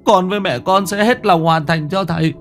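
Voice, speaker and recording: A man's voice: deep voice, very animated, normal speed, warm tone, soft background music, pitched below the performer's own voice. A man voicing a grieving, dutiful young son who calls out in earnest sobbing cries.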